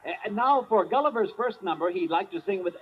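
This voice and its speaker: High-pitched, excitable stage showman. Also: fast. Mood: flustered, pleased. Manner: grand stage announcing, hesitant chatter. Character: excitable old vaudeville showman announcing his singing mouse act. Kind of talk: 1950s radio drama acting. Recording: muffled, noisy vintage radio recording.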